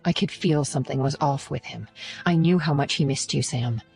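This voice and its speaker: A man's voice: fairly high pitch, normal speed, vocal fry, darkish tone, soft background music, pitched above the performer's own voice. A man voicing a determined female investigator who speaks earnestly and bluntly. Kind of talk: audiobook narration.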